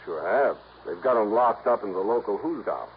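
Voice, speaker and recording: An earnest American insurance man in an old radio mystery, with a lowish voice and a brisk, folksy, businesslike manner. The recording is muffled with faint noise. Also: animated. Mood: grave, explanatory. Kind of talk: 1950s radio drama acting.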